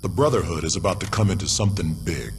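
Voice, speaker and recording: A man's voice: sits low, expressive, fast, a little hiss or hum. A man playing an arrogant, domineering gang boss with a blunt, foul-mouthed swagger in a video game cutscene. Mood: confident, businesslike.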